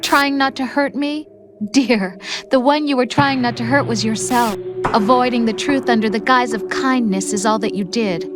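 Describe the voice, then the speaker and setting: An actress playing a cruel artificial intelligence posing as a scornful young girlfriend in a video game, speaking with deliberate reproach. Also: on the high side, music in the background, natural voice. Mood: bitter, reproachful. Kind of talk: dramatic game cutscene acting.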